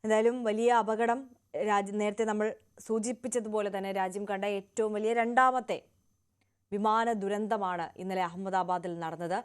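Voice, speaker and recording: Female news anchor, medium pitch, presenting live. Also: steady tone, moderate pace, clear, resonant tone.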